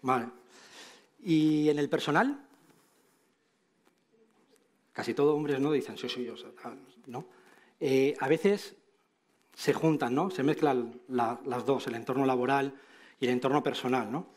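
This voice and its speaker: Middle-aged male conference speaker, Madrid Castilian accent, mid-pitched, informal and wry, checking in with tag questions. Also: measured pace, pauses often. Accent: Castilian Spanish from Madrid. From Spain. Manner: conversational, frequent tag questions, wry asides. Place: large auditorium stage, indoors.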